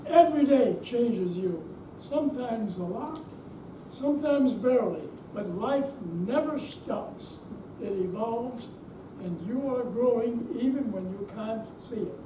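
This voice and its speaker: A woman's voice: fairly high pitch, lively intonation, takes it slowly. A woman readers theater performer giving a dramatic reading of reflective verse. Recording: distant echoey room, clear noise.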